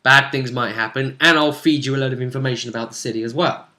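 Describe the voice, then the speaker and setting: A man's voice: mid-range voice, fairly even intonation, fast, pushed and bright. A man casually recapping a tabletop game as host and game master, acting out quotes as he retells it.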